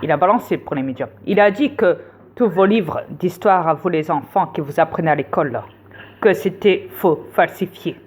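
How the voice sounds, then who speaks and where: A woman's voice: lowish voice, normal speed, darkish tone, breathy edge. A woman lecturing viewers insistently in a rambling casual monologue, with many fillers. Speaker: conspiracy video host.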